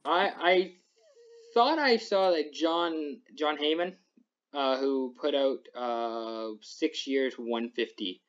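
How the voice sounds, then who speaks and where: A man's voice: on the high side, slow. Rambling young man on a muffled podcast. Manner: rambling, self-interrupting. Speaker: podcast host. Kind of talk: casual sports chat.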